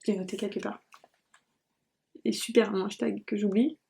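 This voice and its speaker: A woman's voice: mid-range voice, fairly even intonation, moderate pace, creaky, mellow. A woman hosting a knitting podcast, chatting casually to viewers in a scattered, self-deprecating way and often losing her thread. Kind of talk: casual rambling vlog talk to viewers.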